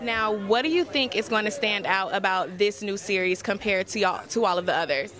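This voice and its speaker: A woman's voice: medium pitch, brisk. Young woman interviewing amid crowd noise. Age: young adult. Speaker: entertainment reporter, interviewer. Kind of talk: casual red carpet interviewing. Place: outdoors at a premiere press line. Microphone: handheld interview microphone. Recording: busy crowd chatter behind.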